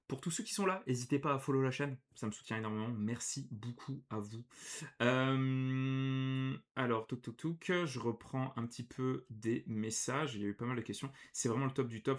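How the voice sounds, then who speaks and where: A man's voice: mid-range voice, fairly bright tone. A man hosting a live stream, chatting casually, greeting viewers and reading their messages aloud.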